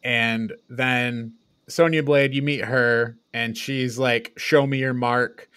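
A man's voice: mid-range voice, fairly even intonation, slow pace, a bit bright, clear, resonant tone, no echo. A man co-hosting a podcast, talking casually through a film review with frequent hedging and some swearing. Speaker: podcast co-host.

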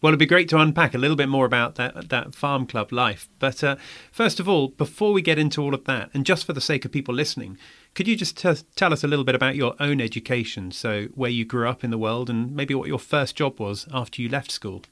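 A man's voice: mid-range voice, a little fast, hardly any pauses, fairly bright tone, dry recording. A man hosting a school podcast, asking questions and encouraging his guest with short replies. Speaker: podcast host. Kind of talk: podcast interview. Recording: dry studio with faint music.

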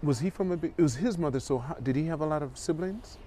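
A man interviewing a guest, curious and eager, cutting in often with questions and quick reactions. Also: a little high, dark tone. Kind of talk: casual interview conversation.

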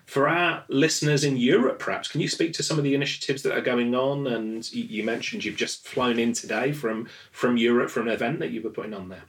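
A man's voice: medium pitch, a little fast, hardly any pauses, far from the mic. A man hosting a podcast interview, eager and generous with praise. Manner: enthusiastic, lavish with praise. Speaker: podcast host. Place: studio in London.

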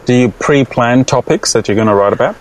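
A man hosting a conversational interview, feeling his way haltingly into each question. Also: medium pitch, slight background noise. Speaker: podcast host, interviewer.

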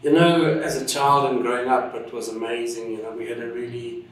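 A man's voice: mid-range voice, level tone, brisk, distant mic, a little hiss or hum. A man from Zimbabwe recalls his past in a loose, rambling way, full of ums and restarts. He sits back from the microphone in a very echoey room. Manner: rambling, warm storytelling with many fillers and restarts. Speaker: interview guest, drone instructor and pilot. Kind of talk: casual conversational interview.

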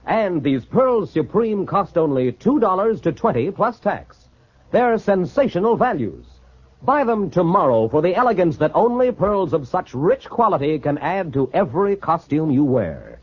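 A man reading a florid scripted sales pitch as an old-time radio announcer. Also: very animated, moderate pace, husky. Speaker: commercial announcer. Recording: muffled old radio recording.

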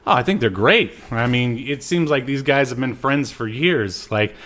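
A man's voice: mid-range voice, animated, normal speed, a bit bright. A man co-hosting a podcast, giving a casual film recap in a conversational, hesitant way with trailing asides. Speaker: podcast co-host.

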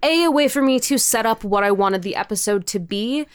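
A woman's voice: on the high side, expressive, fairly quick, smooth, dry recording. A woman speaking as a podcast guest in a casual interview chat, candid and informal, swearing freely. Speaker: podcast guest, audio drama creator.

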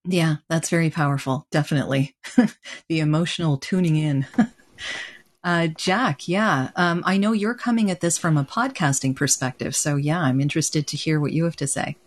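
A woman with a low voice moderates a panel over a video call. She is casual and friendly and laughs between questions. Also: normal speed.

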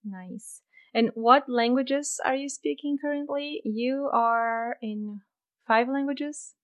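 A woman hosting a podcast interview in her home office, cheerful and quick to laugh. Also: high voice, clean tone, muffled recording. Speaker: podcast host.

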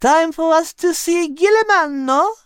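Storybook: narrator as a strict female animal, high, sharp, scolding. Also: unhurried, no room sound, studio quality. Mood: eager.

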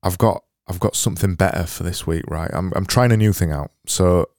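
A man with a Barnsley accent hosting his own podcast, talking alone in a sarcastic, deadpan, self-mocking way. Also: deep voice, brisk, creaky, no room sound, studio quality. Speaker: podcast host. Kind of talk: solo comedic podcast monologue.

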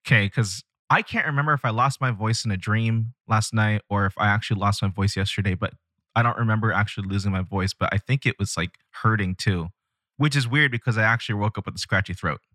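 A man's voice: lowish voice, quick pace, sharp tone, dry recording. A man hosting a podcast who chats in an easygoing way and asks curious, rambling questions. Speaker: podcast host. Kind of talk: casual podcast conversation.

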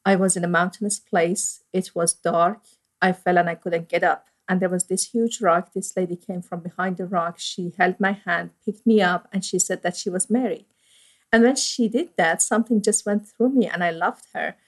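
A woman's voice: on the low side, fairly even intonation, normal speed, low-quality recording. A middle-aged woman with an Iranian accent, a priest telling her own story as an interview guest in an earnest, reflective way with frequent fillers. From Iran. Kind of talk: personal storytelling.

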